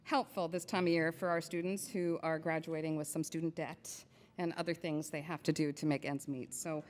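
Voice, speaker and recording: Woman coordinator at a podium; lower voice, teasing suspense, hall reverb. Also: fairly even intonation, normal speed, darkish tone. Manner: chatty, playful, building suspense. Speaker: program coordinator presenting an award. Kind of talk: award ceremony speech. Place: event hall.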